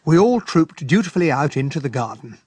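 An actor playing a gentlemanly English captain who narrates a detective case in a radio mystery drama, measured and genteel. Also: male voice, a little high, big pitch swings, slightly rough, dry recording. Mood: genteel, observant.

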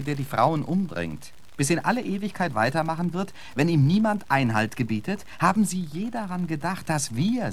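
Haughty, theatrical gentleman poet, very animated. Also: on the high side. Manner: ornate, pompous, sarcastic phrasing. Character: eloquent, indignant gentleman poet, witty and haughty. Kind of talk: German radio drama acting.

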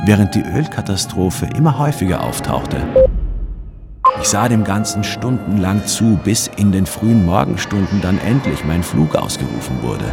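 An actor playing a reflective corporate anthropologist who tells his own story in a literary radio drama, with music behind him. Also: deep voice.

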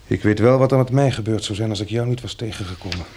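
An actor in a radio drama playing a courteous, wry gentleman who has survived a catastrophe, in intimate, naturalistic dialogue with a gravelly, husky voice. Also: lowish voice, fast talker, a little hiss or hum, lightly acted. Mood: wistful.

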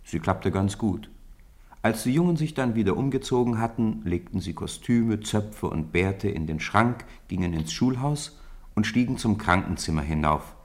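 Deep voiced guy narrating a story for a radio play, scripted reading. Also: expressive, normal speed.

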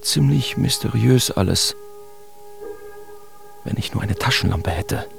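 A haunted, wry artist telling his absurd dream for a radio drama. His voice sits on the low side with a clearly creaky grain. The storytelling is expressive and theatrical, with music running behind it. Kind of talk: radio drama first-person narration.

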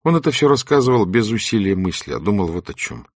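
A man reading a story aloud in expressive literary narration. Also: lowish voice, fast, dry recording, studio quality. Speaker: audiobook narrator.

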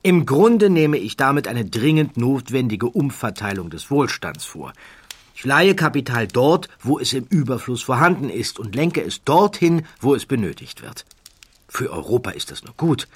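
A man's voice: mid-range voice, big pitch swings, normal speed, husky, voice pushed up. A man playing a suave, self-assured financier in an audiobook, explaining himself in an urbane, emphatic way. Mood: smooth, self-justifying.

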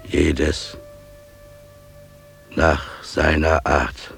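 A man's voice: extremely low pitch, level tone, gravelly, a bit bright, faint music behind, exaggerated voice. An actor in a German radio play, playing a humble, devout showman father with a declamatory, reverent manner. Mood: solemn, reverent. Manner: declamatory, reverent, halting phrases.

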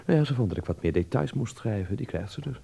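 A man's voice: fairly low pitch, lively intonation, fast talker, creaky, background noise. An actor in a radio drama playing a naive, prudish young Dutchman abroad who talks to himself and is easily flustered. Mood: musing. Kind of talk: radio drama monologue and dialogue.

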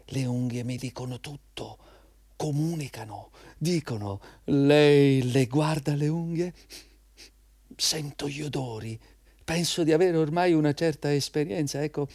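Fawning, higher-voiced male job applicant. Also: unhurried, darkish tone, no room sound, studio quality. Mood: savoring, flattering. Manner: fawning, effusive, insistent. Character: ingratiating, eager male job applicant, a smoker. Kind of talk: theatrical Italian radio drama acting.

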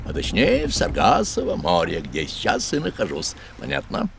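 Whimsical, self-satisfied sea captain, gravelly and theatrical, exclaiming with big swoops; children's radio play. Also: male voice, low voice, huge pitch swings, normal speed, background noise, exaggerated voice. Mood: showing off. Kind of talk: children's educational audio drama.

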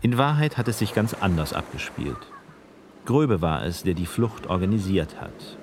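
A man narrating a radio documentary in a measured, matter-of-fact way. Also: low-pitched, very animated, a little hiss or hum.

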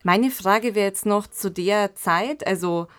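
A woman's voice: medium pitch, slow pace. A woman co-hosting a podcast, asking interview questions in a conversational style.

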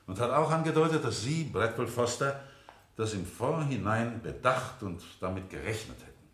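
An actor playing an insistent, probing defence lawyer in a courtroom radio drama, speaking in formal, rhetorical phrases. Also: male voice, medium pitch, expressive, moderate pace, fairly bright tone, lightly acted. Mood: measured, probing. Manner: formal, rhetorical, pointed questioning. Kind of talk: 1970 German courtroom radio drama acting. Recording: echoey courtroom-like room, 1970 radio production.

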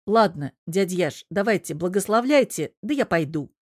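A woman's voice: on the low side, lively intonation, normal speed, slightly rough, no echo, clean studio sound. A woman voicing a stubborn, exasperated young man in love, slangy and defiant, in a story reading. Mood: defiant, cocky.

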